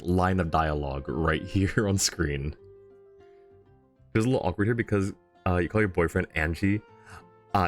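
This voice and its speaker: A man with a distinctly low voice streams a game and talks casually to his viewers. He rambles, thinking out loud in an informal way, with soft music in the background. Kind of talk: casual live-stream commentary, chatting with viewers. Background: faint game music.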